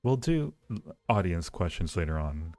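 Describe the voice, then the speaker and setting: Low-voiced man, playful livestream host. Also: sing-song, measured pace, warm tone. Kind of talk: casual livestream chat with viewers. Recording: roomy echo, faint music behind.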